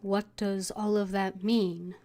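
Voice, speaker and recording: A woman's voice: medium pitch, level tone, slow pace, mellow. A woman streaming a video game, casually commenting and reading dialogue choices aloud.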